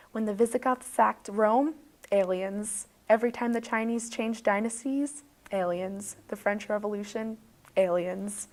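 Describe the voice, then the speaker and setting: A woman hosting a video, narrating a tongue-in-cheek bedtime story in a mock-serious, dramatic way. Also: medium pitch.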